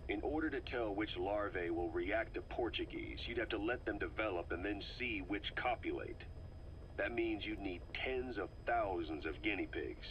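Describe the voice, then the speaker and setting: An actor playing a gruff, skeptical military interrogator in a video game, asking plain, probing questions. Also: male voice, lowish voice, moderate pace, close to an everyday voice. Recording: muffled cassette tape with background noise.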